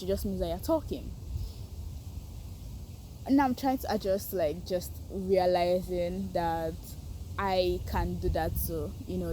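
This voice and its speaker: Nigerian-accented woman, unhurried, thoughtful and hesitant, outdoor ambience with traffic going by. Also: mid-range voice, low-quality recording. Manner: thoughtful, hesitant, searching for words, soft laughs. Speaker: participant sharing reflections. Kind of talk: unscripted personal reflection.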